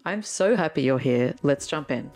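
A young Australian woman hosts a solo podcast in a low-pitched voice. She narrates in a personal, informal style and speaks candidly, as if introducing her own show. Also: animated, normal speed. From Sydney, Australia. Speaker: podcast host.

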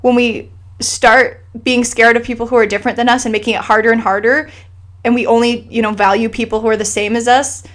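Earnest, impassioned woman, higher voice. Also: fairly even intonation, a little fast. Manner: earnest and impassioned, with frequent restarts and "like". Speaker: guest sharing her family's immigration story. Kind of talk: casual conversational interview.

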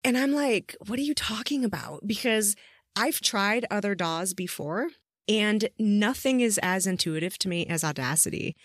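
A woman's voice: mid-range voice, expressive, moderate pace, no echo, clean studio sound. A woman hosting a casual interview, effusive and informal in the way she talks. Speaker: podcast interviewer and host.

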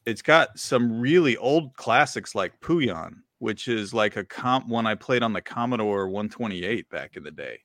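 Casting: middle-aged male podcast co-host, lowish voice, dry close sound, rambling and chuckly. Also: expressive, a little slow, hardly any pauses, a bit bright, dry recording. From Virginia. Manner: rambling, filler-heavy, laughs often. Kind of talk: casual chat.

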